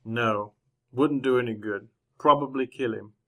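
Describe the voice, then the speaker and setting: Guy playing a proper gentleman out west, radio drama, formal measured delivery, medium pitch, reserved. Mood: calm, reserved. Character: proper gentleman traveler narrating his Wild West journey, reserved, humane. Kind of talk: old-time radio drama narration and dialogue.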